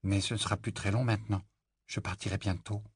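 A man voicing a guarded young boy who answers in short, quiet words. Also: fairly low pitch, little pitch movement, a little fast, slightly rough, no room sound, studio quality. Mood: brooding.

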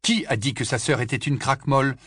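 Brief: uneasy teenage boy, read by a man, quick and urgent in a dry booth. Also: mid-range voice, fairly bright tone, slightly rough, no room sound. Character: unsettled teenage boy wizard.

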